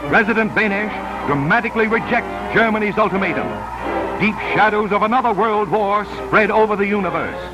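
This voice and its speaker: High-pitched dramatic 1930s newsreel man. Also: a little fast, rough recording. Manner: dramatic, solemn declamation. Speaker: newsreel narrator. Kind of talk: 1930s newsreel narration, scripted. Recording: vintage 1930s newsreel soundtrack. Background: newsreel music.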